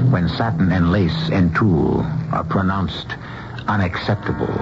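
Grave American mystery narrator, low voice, sweeping intonation, ominous, deliberate, dull radio sound. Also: male voice. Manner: deliberate, portentous. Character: grave, ominous male host of mystery tales. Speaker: host and narrator. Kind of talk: theatrical scripted radio narration. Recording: dull old radio broadcast. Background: loud dramatic music.

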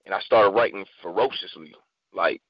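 A man's voice: low voice, moderate pace, breathy edge, dry recording, lo-fi sound. A man in his thirties from Virginia, chatting casually as a guest poet and often repeating himself. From Virginia, United States. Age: 30s. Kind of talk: casual interview chat.

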